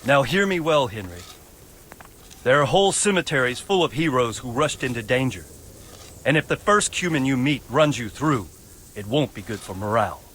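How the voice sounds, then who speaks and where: An actor playing a stern, authoritative nobleman leading men in war, in a measured, firm way for a video game. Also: medium pitch, animated, a bit bright, slight background noise, close to an everyday voice. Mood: stern instructing. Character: stern, commanding medieval nobleman and wounded war leader. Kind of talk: dramatic video game cutscene acting. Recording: music behind the voice.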